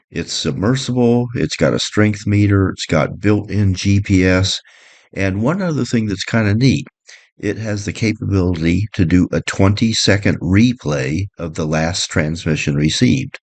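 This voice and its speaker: A man's voice: low voice, no room sound. A man giving a practical how-to narration in a plain, step-by-step way, like a boat owner explaining his gear. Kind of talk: practical how-to narration, partly read, partly off the cuff.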